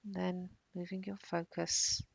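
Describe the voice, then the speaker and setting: Female meditation guide: soothing, very slow delivery, soft breathy endings, close dry sound. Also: on the low side, no room sound. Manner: calm, soothing, unhurried with long pauses. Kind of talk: guided body-scan meditation.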